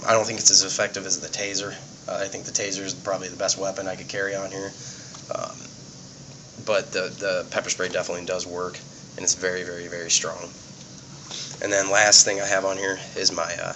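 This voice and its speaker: A man's voice: deep voice, noisy background. A man giving a casual, plainspoken walkthrough of his police gear, explaining things simply and sounding sniffly from a cold. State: sniffly, getting over a cold.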